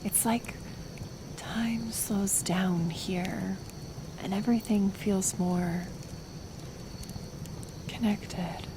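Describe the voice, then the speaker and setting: Slow-spoken gentle woodland healer woman played by an actress. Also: medium pitch, steady tone, noisy background. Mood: hushed, serene. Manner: soft, hesitant, soothing, with unhurried pauses. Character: gentle, stubbornly kind woman healer living in the woods. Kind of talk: roleplay audio acting, intimate one-sided dialogue.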